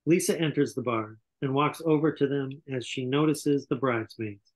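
A man's voice: mid-range voice, moderate pace. An older man reading scripted stage directions over a video call, stumbling now and then. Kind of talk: scripted narration at a table reading. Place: indoor room on a video call.

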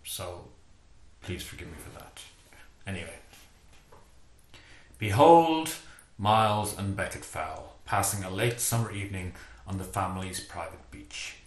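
Playful Irish man reading aloud, low voice. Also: unhurried, pauses often. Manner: playful, self-deprecating, lively storyteller. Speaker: author reading his book. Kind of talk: reading a book passage aloud. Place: home study with bookshelves, indoors. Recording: echoey room.

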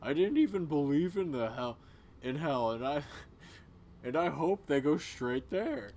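A man reading video game dialogue aloud in a casual, expressive way. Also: on the high side, a little slow, slight background noise. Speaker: game player voicing characters.

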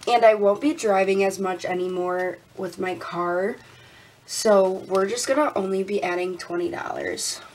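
A young woman vlogging casually at her desk. She sounds congested and a little raspy, laughs often and counts money out loud. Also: mid-range voice, steady tone, measured pace, a little hiss or hum, low-quality recording. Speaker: budgeting vlogger. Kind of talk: casual talk while counting cash. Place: indoors at a desk.

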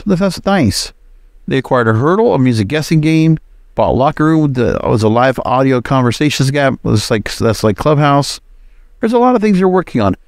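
A man with a medium-pitched voice delivers solo podcast commentary. He talks conversationally and emphatically, like an informal host sharing his opinions. The sound is dry, with very little room in it. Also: no room sound.

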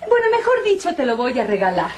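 A woman's voice: sits high, wildly swooping pitch, quick pace, a little hiss or hum. An actress with a Mexican accent playing a refined, sweetly commanding society lady in an old telenovela. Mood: sugary. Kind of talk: 1980s telenovela acting. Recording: old TV soundtrack, faint music.

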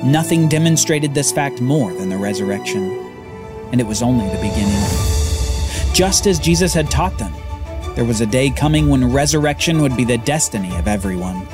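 A man hosting a podcast, telling a Bible story as scripted narration with dramatic pauses. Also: mid-range voice, expressive, music in the background.

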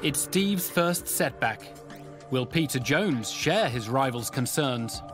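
A man's voice: mid-range voice, lively intonation, slow pace. A man with a British accent delivering dramatic scripted television narration. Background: music.

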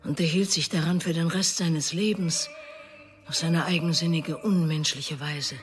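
An actress playing a bitter, wounded middle-aged mother who speaks of her estranged son in an intense radio drama monologue, moving between hushed and emphatic delivery. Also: low-pitched, fairly even intonation, a little slow, soft background music.

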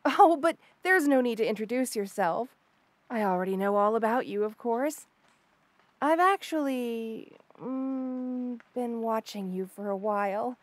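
A woman's voice: fairly high pitch, lively intonation, unhurried, dry recording. A woman voicing an obsessive, lovestruck rattlesnake lamia in an intimate audio roleplay, gushing and hesitant with coy stammers. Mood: shyly obsessive. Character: obsessive lovestruck female rattlesnake lamia, shy yet unsettling.

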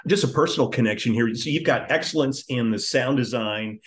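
A man's voice: mid-range voice, a little fast. A man in his fifties hosting a casual interview in an effusive, earnest way, often repeating words. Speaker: podcast host, interviewer. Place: living room at home, on a video call.

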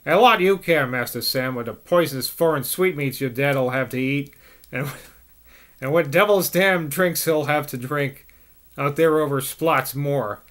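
A man reading aloud and voicing a defiant, sharp-tongued older woman servant in a broad West Country rustic dialect. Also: unhurried. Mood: scornful. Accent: put-on West Country rustic dialect. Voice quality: shrill, scolding, broad rustic speech. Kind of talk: amateur audiobook reading.

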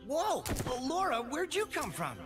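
Cheeky young dragon hero, upbeat, lively pitch, game music underneath. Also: male voice, a little slow, full character voice. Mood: cheerful. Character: young male purple dragon hero, cheerful and cocky. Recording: game audio with music behind.

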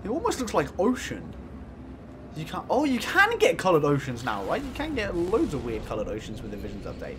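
A young man streams a game from his desk mic with a fairly high, wildly swinging voice. He giggles a lot and goes from low mumbles to excited yelps, sounding a little croaky, with faint noise behind him. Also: a little fast. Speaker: gaming YouTuber. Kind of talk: excitable let's-play commentary.

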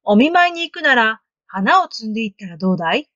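Woman putting on a slow, sly storybook wolf. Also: a little high, big pitch swings, fairly bright tone, no room sound, muffled recording. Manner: slow, gloating, drawn-out menace. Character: sly hungry male wolf, scheming. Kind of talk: storybook read-aloud.

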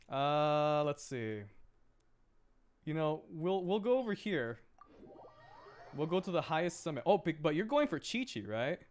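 A young man, chatting casually and playfully in a rambling way as he livestreams a game. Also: on the high side. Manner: playful, rambling, laughs often. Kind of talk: casual gaming livestream chat.

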